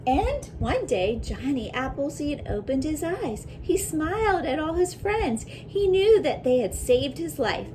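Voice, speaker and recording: A woman with a fairly high voice reads a children's story aloud at a very slow pace. She is deliberate and breaks off for reflective questions, as a teacher would. Clear background noise runs through the recording. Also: sing-song. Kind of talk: children's picture book read-aloud with asides.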